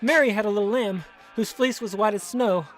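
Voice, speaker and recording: A man's voice: high voice, brisk, natural voice. A man playing a deranged, desperate asylum patient in an audio drama, frantic, demanding and childishly insistent. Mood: manic, demanding.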